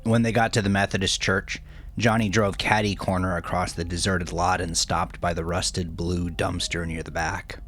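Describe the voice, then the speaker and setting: A man's voice: deep voice, little pitch movement, moderate pace, a bit bright. A man reading scripted crime fiction as an audiobook narrator.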